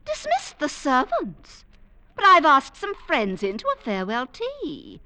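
An actress playing a bossy, belittling middle-aged wife in an old radio drama, snapping orders and scolding imperiously. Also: female voice, high-pitched, wildly swooping pitch, a little slow, bright, forceful tone, heavily acted. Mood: scolding, dismissive. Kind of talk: 1940s radio drama acting. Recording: vintage 1940s radio broadcast.

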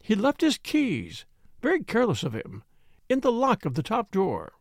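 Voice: medium pitch, wildly swooping pitch, moderate pace, no echo, studio quality, pitched above the performer's own voice. A reader voicing a fussy, tearful lady who talks in a fluttery, rambling, self-pitying way. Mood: wheedling. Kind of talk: audiobook character reading.

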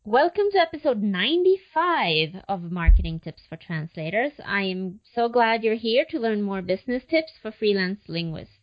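A woman's voice: mid-range voice, animated, moderate pace, few pauses, muffled recording. A woman hosting a podcast, interviewing a guest in a friendly, chatty way. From United States. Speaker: podcast host.

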